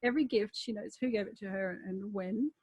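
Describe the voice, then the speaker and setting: A woman's voice: mid-range voice, brisk, a little mellow, slightly rough, dry recording, highs cut off. A woman telling anecdotes in casual, candid chat, wry and self-deprecating. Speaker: writer in conversation.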